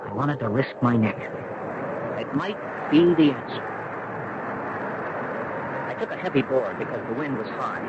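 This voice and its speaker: Actor as a troubled young surfer, noisy old radio. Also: male voice, medium pitch, highs cut off, lightly acted. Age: young adult. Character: pale, troubled young surfer recounting his near-death. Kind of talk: 1970s radio drama acting.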